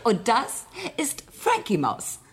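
A woman's voice: on the high side, slow, heavy background noise, heavily acted. An actress playing a breezy, sociable space-travelling woman in a radio play. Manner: breezy, animated.

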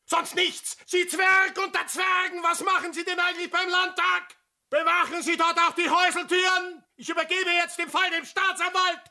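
An actor with an Austrian accent playing a bitter, sardonic sailor in a radio drama, scathing and theatrical. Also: male voice, very high voice, little pitch movement, moderate pace, sharp tone, exaggerated voice. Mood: furious. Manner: scathing, sarcastic, swinging from hissed whispers to bellowing. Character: bitter, sardonic sailor confronting an old war criminal.

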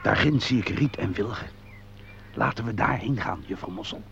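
An actor playing an easygoing, boastful nature lover and angler, jovial and unhurried, in a muffled radio play with some noise. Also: male voice, fairly low pitch, vocal fry. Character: easygoing, boastful angler and self-confessed driving maniac. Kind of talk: Dutch radio play acting.

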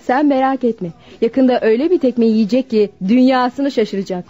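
A woman's voice: a little high, moderate pace, warm tone, natural voice. A woman acting a haughty, cruel young socialite in a Turkish radio drama, scornful and mocking. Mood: mocking, contemptuous. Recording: muffled lo-fi radio recording, music behind.

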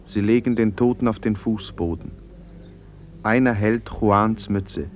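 An actor playing a solemn narrator in a radio play, reading stage directions in a measured, sober way. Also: male voice, lowish voice, close to an everyday voice.

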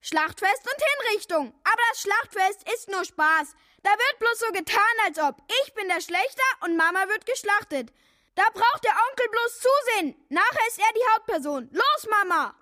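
A woman playing a loud, greedy, unruly young child in a radio drama, shrill, brash and commanding. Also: expressive, normal speed, no echo, studio quality, heavily acted. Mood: bossy, excited.

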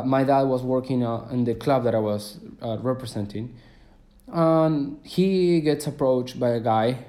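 Mellow young man, rambling. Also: mid-range voice. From Argentina. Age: 20s. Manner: hesitant and rambling, full of fillers and restarts. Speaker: podcast host. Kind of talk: casual solo podcast monologue.

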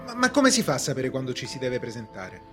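A man's voice: medium pitch, huge pitch swings, fast talker. An actor in a radio drama playing a polite, self-assured but puzzled accused man with a hesitant, questioning manner. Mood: insistent, uneasy. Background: music.

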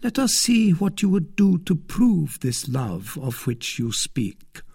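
A haughty, scheming noblewoman from a storybook , acted out by a male narrator. The voice is lifted high and swoops widely. She speaks deliberately and theatrically, seductive with a vindictive edge. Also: sing-song, gravelly, darkish tone, husky, exaggerated voice. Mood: coaxing. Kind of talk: audiobook character acting of a classic novel.

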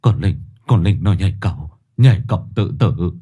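A man's voice: mid-range voice, big pitch swings, moderate pace, husky, muffled recording. A man voicing a shaken older village father who stammers in shock. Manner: stammering, flustered.

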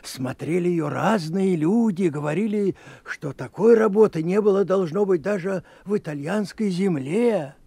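An actor playing an old village grandfather who tells folk tales in a slow, sing-song way, in a radio play. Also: sits high, creaky, mellow, husky, dry recording, full character voice. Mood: storytelling. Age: older. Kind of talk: 1980s radio play storytelling.

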